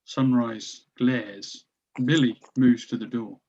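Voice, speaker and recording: A man's voice: medium pitch, steady tone, slow pace, a little mellow, breathy edge, lo-fi sound. A man reading stage directions as scripted narration for a screenplay table read.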